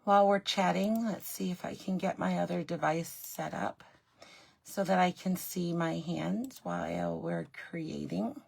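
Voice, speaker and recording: A middle-aged woman with a slightly raspy, scratchy voice, chatting as she hosts a live craft tutorial from her home craft room and greeting viewers by name. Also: fairly low pitch, expressive, a little slow, darkish tone. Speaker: craft demonstrator and host.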